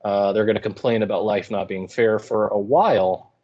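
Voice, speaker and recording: A man with a lowish voice comments as a teacher would in a relaxed class. He explains informally and paraphrases in everyday slang with a wry touch. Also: normal speed, muffled recording. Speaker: high school English teacher. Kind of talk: casual lecture commentary.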